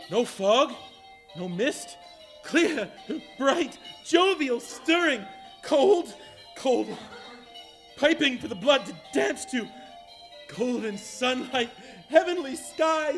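A man's voice: very high voice, fairly bright tone, heavily acted. A man playing a giddy old miser reborn. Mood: ecstatic. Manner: giddy, exclaiming, laughing. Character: elderly male miser, joyful and giddy. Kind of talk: live stage reading. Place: large hall on a stage.

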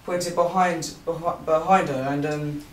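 A teenage boy tells a story to a small room, halting and restarting, his voice a little high. He is heard from a far camera with a roomy echo and faint ambience behind him. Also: fairly even intonation, a little hiss or hum. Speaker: busker telling a story. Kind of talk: nervous live storytelling.

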